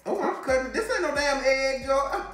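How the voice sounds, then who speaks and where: A man's voice: extremely high pitch, fairly quick, fairly bright tone. An American man in his thirties hosting a cooking vlog with playful, sassy, casual banter. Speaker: cooking show host. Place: home kitchen, indoors. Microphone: camera a few feet away. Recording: echoey kitchen, distant mic, music behind.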